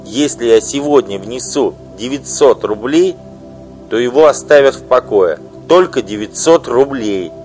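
A man doing a shrill outraged wronged wife. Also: expressive, a little slow, faint music behind. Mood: pleading. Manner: shrill, pleading and accusing. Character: indignant wronged wife, middle-aged woman. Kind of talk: audiobook reading.

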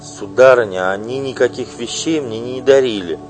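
A man's voice: fairly low pitch, moderate pace, darkish tone, faint music behind, pitched above the performer's own voice, natural voice, poor audio. A man voicing a timid, evasive young woman singer in an audiobook. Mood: defensive. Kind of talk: audiobook reading.

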